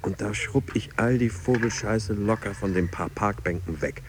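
A man's voice: fairly low pitch, normal speed, noisy background, lightly acted. An actor playing a zealous, self-satisfied male office fitness convert, speaking emphatically with English buzzwords in a radio play.

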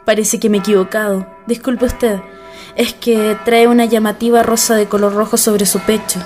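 A woman's voice: medium pitch, level tone, normal speed, a little mellow, lightly acted. A woman playing a refined, courteous president's daughter in a radio drama, speaking formally and precisely. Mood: polite, hesitant. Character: refined president's daughter, polite, anxious, self-assured. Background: background music.

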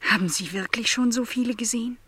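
An actress playing a shy but curious young housemaid in a radio play, speaking hesitantly and very politely. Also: female voice, a little high, fast talker, a bit bright, breathy edge, no echo. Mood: wistful. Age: young adult.

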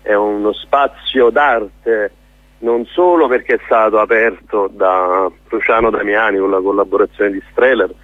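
A man's voice: fairly low pitch, steady tone, measured pace, dry recording. A man speaking as a theatre director in a spontaneous radio interview, earnest and often hesitant as he searches for words. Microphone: telephone. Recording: narrow tinny phone line.

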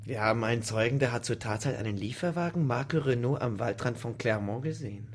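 An actor playing a gruff, bullying police lieutenant who questions people curtly and sarcastically in a radio crime drama. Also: male voice, mid-range voice, a little fast, natural voice. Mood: stern, provoked. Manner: curt, sarcastic interrogating.

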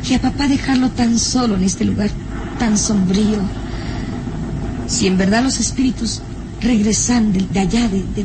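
A woman performs a young, tender-hearted daughter lost in nostalgia. Her delivery is sighing and melodramatic, in the manner of old radio drama, at a medium pitch. The old serial recording has clear noise behind her. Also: lightly acted. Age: young adult.

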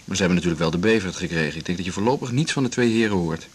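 A man's voice: low-pitched, expressive, racing, slightly rough. An actor playing an affectionate, teasing and self-assured male partner in a 1980s Dutch radio drama. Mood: satisfied, assured.

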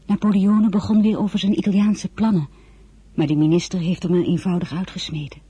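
A woman playing an earnest, lovesick French teenage girl in a radio drama, with a husky, breathy voice. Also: fairly low pitch, level tone, a little fast, dark tone, dry recording. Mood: wistful. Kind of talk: radio drama acting with diary narration.

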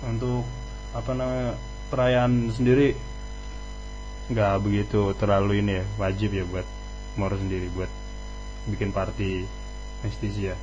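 A man hosting a casual radio interview, halting as he searches for words. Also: fairly low pitch, little pitch movement, a little mellow, soft background music, low-quality recording. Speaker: radio host interviewing a band.

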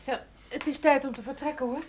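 A woman's voice: sits high, steady tone, brisk, a little mellow, slight background noise, natural voice. An actress playing a detective's anxious, candid female companion in radio play dialogue. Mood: tense, alert. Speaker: radio drama actor.

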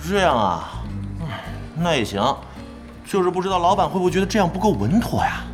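A man's voice: high voice, very animated, fairly quick, soft background music. A man playing a goofy, naive young tough in an audio drama, boisterous and earnest, full of exclamations. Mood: friendly, eager.

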